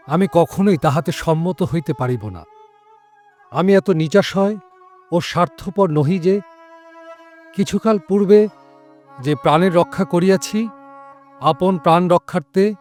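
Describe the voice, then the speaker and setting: A man's voice: high-pitched, measured pace, pauses often, darkish tone, faint music behind. A man playing a selfless, principled captive in an audio drama, formal and resolute. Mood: resolute, earnest. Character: selfless, principled male captive, dignified and grateful. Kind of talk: Bengali audio drama acting.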